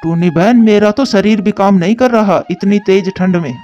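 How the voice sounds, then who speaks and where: Cartoon bird, male performer: fretful lamenter, high pitch, dull lo-fi sound. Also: moderate pace, a little mellow, lightly acted. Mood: suffering, anxious. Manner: dramatic, lamenting. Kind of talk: Hindi children's cartoon dubbing. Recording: muffled lo-fi dub with music.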